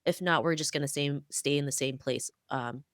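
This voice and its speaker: A woman's voice: low voice, normal speed, no room sound, studio quality. A woman guest in a podcast interview, speaking in an earnest, fluent, motivational way. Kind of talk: conversational interview.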